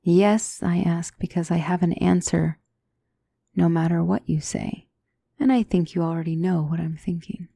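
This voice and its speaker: A woman playing a gentle demonic mentor in an ASMR fantasy roleplay, speaking softly with formal, measured, reassuring diction and often near a whisper. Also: on the low side, fairly even intonation, gravelly, husky, dry recording. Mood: probing, teacherly. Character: gentle demonic tutor, female mentor to a young royal.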